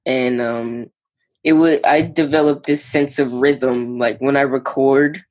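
A young New musician talks through his start in music in a loose, halting way, leaning on fillers as he thinks. His voice sits at a middle pitch for a man comes through in the casual chat. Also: steady tone, a little mellow. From New York. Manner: laid-back, halting, lots of "like". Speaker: musician guest. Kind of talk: casual interview.

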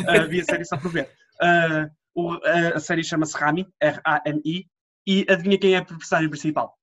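A young man with a European Portuguese accent, co-hosting a casual podcast chat between friends in a jokey, animated way. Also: fairly high pitch, fairly quick. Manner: jokey, animated, does silly voices. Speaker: podcast co-host. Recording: dull lo-fi sound.

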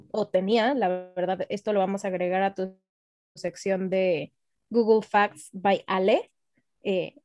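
A young woman, co-hosting a podcast in casual, excitable, gushing fan chat. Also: medium pitch, dry recording. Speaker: podcast co-host. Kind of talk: casual chat about racing.

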